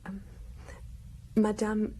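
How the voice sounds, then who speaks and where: An actress in a radio drama playing a timid, ashamed young woman, halting and near whispering. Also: medium pitch, monotone, mellow, slight background noise. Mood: ashamed, reluctant.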